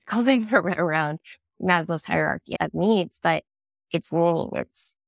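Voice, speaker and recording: A woman's voice: on the low side, vocal fry, no echo, studio quality. A woman in her thirties, getting over a cold, answering interview questions in a thoughtful, earnest way. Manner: thoughtful, earnest, with small restarts. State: scratchy voice from a cold. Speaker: guest, clean energy startup leader.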